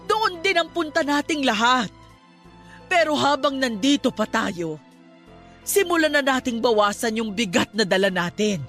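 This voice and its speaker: A woman's voice: high voice, fairly bright tone, full character voice. A woman playing a stern but caring middle-aged religious aunt in an emphatic Tagalog radio drama. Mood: pleading, consoling. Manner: emphatic, scolding then consoling.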